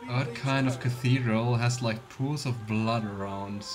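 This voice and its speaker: A man's voice: medium pitch, steady tone, a little slow, over music. A man giving casual, chatty let's play commentary with playful sarcasm. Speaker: livestreamer playing a video game.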